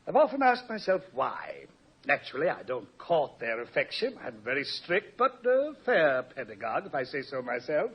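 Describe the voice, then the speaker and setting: A man's voice: fairly high pitch, huge pitch swings, moderate pace, breathy edge, heavily acted. An actor playing a vain, self-satisfied college professor in a 1950s radio comedy, pompous, hemming and fussy in his talk. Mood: smug. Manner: pompous, hemming, chuckles at himself. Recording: muffled old radio recording.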